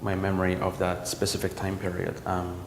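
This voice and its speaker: Low gravelly man in an echoey gallery. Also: monotone, noisy background. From El Salvador. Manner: reflective, halting, with frequent ums and restarts. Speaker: visual artist. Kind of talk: talking about his artwork. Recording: roomy gallery echo with long reverb.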